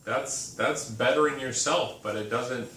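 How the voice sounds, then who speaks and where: A man's voice: mid-range voice, a little slow, a bit bright, slight background noise. A man co-hosting a casual chat, often chiming in with short agreements between his own stories. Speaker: podcast co-host. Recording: echoey room, mic at a distance.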